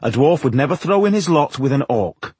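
A man's voice: medium pitch, sing-song, normal speed, darkish tone, slightly rough, dry recording. A man voicing an earnest, firm and polite dwarf traveller in an audiobook, speaking formally and courteously. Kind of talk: audiobook character dialogue.

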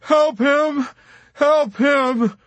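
A man's voice: very high-pitched, very slow, fairly bright tone, dry recording, voice pushed up, full character voice. A man voicing a hysterical young male pilot in an audiobook, weeping and pleading desperately. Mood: sobbing, begging. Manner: sobbing, pleading.

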